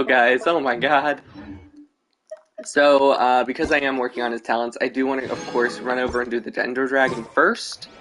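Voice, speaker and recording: A man's voice: mid-range voice, a bit bright. A man chatting casually as he commentates his gameplay on a livestream. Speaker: game streamer. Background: faint game music.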